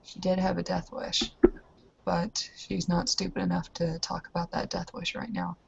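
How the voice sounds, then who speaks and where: A woman narrating her character in an improvised roleplaying game, speaking in a hesitant, halting way on a muffled recording. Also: on the low side, monotone, vocal fry, a little hiss or hum. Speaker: tabletop roleplaying player.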